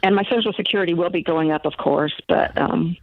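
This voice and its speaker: A woman's voice: fairly low pitch, fairly quick, vocal fry, no room sound. A woman in her sixties calling in to an advice show, talking hesitantly with many fillers and restarts. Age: 60s. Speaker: caller. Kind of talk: phone call to a radio advice show. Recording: tinny phone line.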